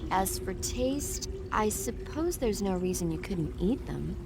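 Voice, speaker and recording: Earnest, bookish game medic. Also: female voice, medium pitch, very animated, a little slow, background noise. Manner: precise, explanatory, earnest. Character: earnest, bookish young female medic advising by radio. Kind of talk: video game voice acting.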